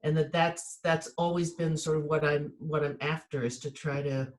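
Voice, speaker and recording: A woman who teaches writing, talking through a personal memory in a reflective, measured way. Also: low voice, fairly even intonation, slight background noise. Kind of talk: casual conversation.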